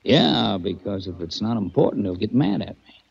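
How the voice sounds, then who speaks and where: An actor playing a big, dim-witted but good-natured male asylum orderly in a 1940s radio drama, speaking slowly and patronizingly. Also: lowish voice, lively intonation, creaky, darkish tone, slightly rough, exaggerated voice. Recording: dull old radio recording.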